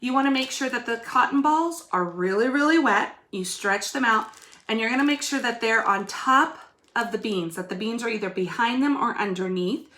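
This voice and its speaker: A woman's voice: fairly high pitch, moderate pace, bright, forceful tone. A woman teacher giving young pupils an informal video lesson, explaining step by step and addressing them directly. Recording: echoey room.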